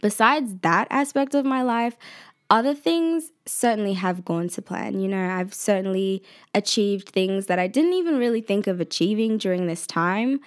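A woman's voice: medium pitch, moderate pace. Chatty twenty-year-old woman, laughing often. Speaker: vlogger and university student. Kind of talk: casual personal vlog talk.

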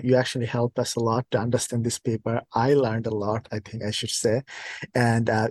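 A man's voice: mid-range voice, a little mellow. A man hosting a science podcast interview, friendly and encouraging toward his guest. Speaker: podcast host.

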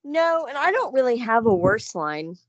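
A woman co-hosting a podcast, casually chatting about a film and briskly moving the segments along. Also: on the high side, big pitch swings, no room sound, muffled recording. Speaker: podcast co-host.

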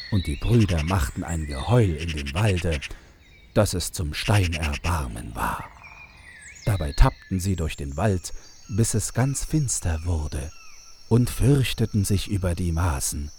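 A man narrates a fairy tale in a very deep, soft and dark voice. He takes his time with each phrase, as a measured storyteller. There is faint noise behind him. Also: big pitch swings.

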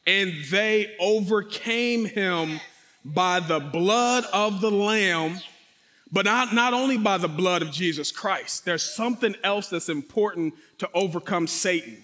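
A man with a fairly high, bright, forceful voice preaches loudly and with high energy, repeatedly calling out questions to the congregation. He speaks from the front of a church sanctuary. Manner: loud, energetic, calls out to the congregation. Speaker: associate pastor, guest speaker. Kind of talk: sermon with crowd interaction.

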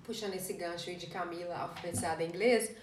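A woman's voice: on the low side, fairly even intonation, quick pace, a crowd in the background. A young Brazilian woman co-hosting a podcast in a casual, conversational way, speaking with a Brazilian accent. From Brazil, Caetité in Bahia. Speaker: podcast co-host. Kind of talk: casual chat. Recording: echoey room, distant mic, light noise.

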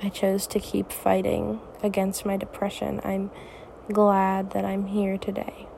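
Raspy, tired teenage girl, soft-spoken. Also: medium pitch, monotone, measured pace, a little hiss or hum. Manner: soft, reassuring, hesitant. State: tired, up late. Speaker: podcast host. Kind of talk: personal podcast monologue.

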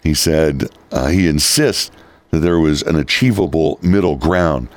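A male talk radio host with a raspy voice, giving opinionated political commentary. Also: extremely low pitch, unhurried, no echo. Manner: opinionated, reads quotes then rebuts them. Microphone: studio microphone.

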